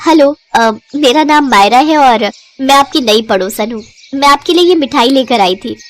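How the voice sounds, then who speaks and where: Woman voicing a high, sweetly eager young neighbour. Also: lively intonation, normal speed, slight background noise, poor audio. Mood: friendly.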